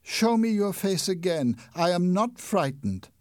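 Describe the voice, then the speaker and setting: A man's voice: high voice, sing-song, measured pace, no room sound, studio quality, pitched above the performer's own voice. A man voicing a frightened young female singer held captive, tense and fearful. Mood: pleading.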